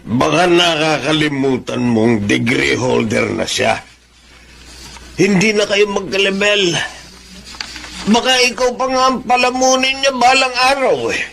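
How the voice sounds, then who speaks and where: Gravelly filipino dad, scornful and challenging, radio drama, faint noise behind. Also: male voice, sits high, animated, unhurried, fairly bright tone, exaggerated voice. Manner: challenging, belittling. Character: scornful, disapproving father.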